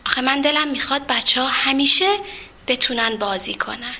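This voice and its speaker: A gentle storybook cloud that longs to rain, musing softly to itself in a high, bright voice, as a woman reads it. Its tone is tender and wistful. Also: full character voice.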